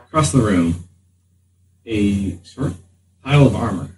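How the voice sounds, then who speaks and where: A man improvising narration as game master of a tabletop game, halting and deliberate. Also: on the low side, lively intonation, faint music behind. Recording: roomy, distant mic, faint noise.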